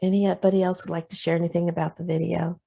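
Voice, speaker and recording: A woman with a lowish voice leads a workshop over a video call. She talks in a loose, conversational way, often pausing on "um" as she gathers her thoughts. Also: vocal fry, breathy edge. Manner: relaxed, conversational, with frequent fillers. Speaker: presenter leading a discussion. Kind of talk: online workshop discussion.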